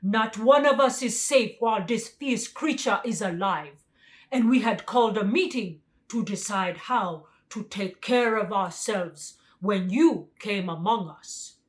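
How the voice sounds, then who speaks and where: A woman voicing a big, grave, respectful tiger in a children's storybook, speaking loudly and formally. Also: mid-range voice, a little slow, lightly acted. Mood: grave, alarmed. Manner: loud, emphatic, formal. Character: biggest male tiger, grave, respectful forest beast.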